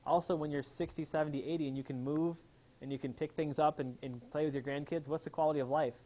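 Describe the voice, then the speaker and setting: A man's voice: on the high side, steady tone, fast talker, warm tone, low-quality recording. A chiropractor giving an enthusiastic health seminar, asking his audience questions as he talks. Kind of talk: live seminar talk to an audience. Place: room with a live audience.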